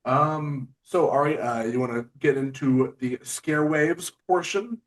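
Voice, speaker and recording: A man's voice: fairly high pitch, unhurried. A man hosting a casual interview in an informal, enthusiastic and agreeable way. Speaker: interview host. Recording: distant from the mic.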